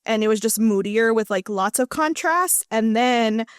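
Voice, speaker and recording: A woman's voice: fairly high pitch, expressive, moderate pace, clean tone, no echo, clean studio sound. A woman co-hosting a podcast, giving her take on films in an informal, slangy, chatty style. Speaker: podcast co-host. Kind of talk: casual film review chat.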